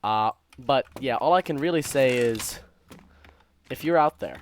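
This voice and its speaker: A man's voice: mid-range voice, measured pace. A young man casually telling stories while gaming, rambling and interrupting himself in a distracted way. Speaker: gaming content creator. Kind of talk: casual storytelling while playing a game. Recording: faint keyboard typing and clicking noise. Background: computer keyboard typing and clicks.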